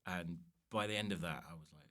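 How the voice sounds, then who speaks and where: A man chatting casually as a comedian guest on a podcast, telling self-mocking stories in an animated way. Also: low voice, fast. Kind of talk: casual comic storytelling chat.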